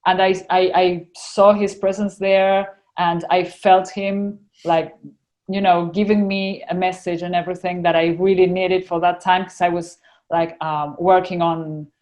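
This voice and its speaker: A woman's voice: on the low side, little pitch movement, measured pace, a little mellow. A young woman with an Argentinian accent, telling her story as a podcast guest in casual conversation, full of fillers and restarts. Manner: chatty, many fillers and restarts.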